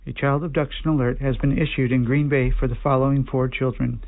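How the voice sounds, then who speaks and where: A synthetic-sounding man reading a formal scripted alert bulletin in a measured, impersonal way over tinny weather radio. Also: mid-range voice, flat delivery, very noisy. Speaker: automated emergency alert announcer. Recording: tinny band-limited weather radio broadcast.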